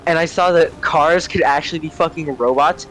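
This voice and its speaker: A man's voice: on the high side, moderate pace, a bit bright, rough recording. A young man chatting casually and telling stories, rambling, searching for words and swearing freely. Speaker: storyteller on a gaming channel. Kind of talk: casual storytelling chat. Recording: clear vehicle-like background noise.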